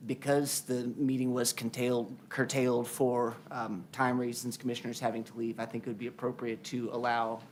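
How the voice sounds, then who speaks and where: Man, commissioner, mid pitch, flat delivery, procedural, faint noise. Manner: measured and procedural. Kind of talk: procedural remarks at meeting.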